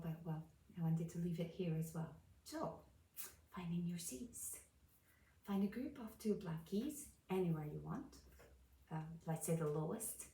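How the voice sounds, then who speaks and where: Piano teacher, woman, low mellow voice, calm explainer, distant mic in a roomy space. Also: a little hiss or hum. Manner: explains calmly while playing notes, chuckles. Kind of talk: casual piano lesson. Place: room with a piano.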